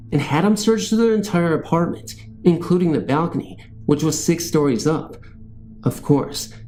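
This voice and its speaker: A man's voice: fairly high pitch, darkish tone. A man narrating true ghost stories in a scripted storytelling style. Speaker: narrator and host of a scary story channel.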